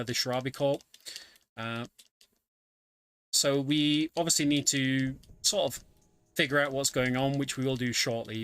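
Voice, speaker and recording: A man's voice: medium pitch, sharp tone. A man giving casual live speedrun commentary in a chatty, hesitant way. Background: video game music.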